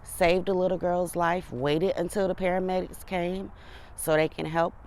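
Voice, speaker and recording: A woman's voice: lowish voice, fairly even intonation, normal speed, darkish tone, slight background noise. A woman speaking earnestly and heartfelt in an interview about her friend. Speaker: friend of the honoree.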